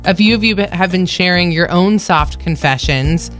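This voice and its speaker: A woman with a deeper voice co-hosting a morning radio show, chatting easily and laughing often. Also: moderate pace, soft background music. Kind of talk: casual radio chat.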